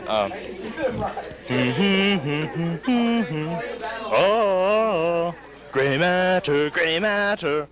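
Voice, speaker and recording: A teenage boy talks very slowly and hesitantly, trailing off with ums. A crowd chatters behind him on old camcorder tape. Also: a little high, expressive, over music. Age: teens. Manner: hesitant, slow, with fillers.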